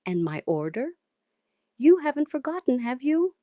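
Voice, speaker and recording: Woman voicing a chirpy, high Nigerian neighbour lady. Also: sing-song, normal speed, dark tone, no echo, muffled recording. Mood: teasing. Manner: beaming, chatty.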